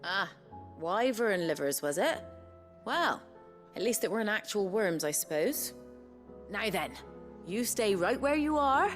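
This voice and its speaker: A woman's voice: mid-range voice, very animated, unhurried, long pauses, soft background music. A woman playing a down-to-earth, teasing cook in a fantasy video game. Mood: wry, doubtful. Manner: wry, plain-spoken.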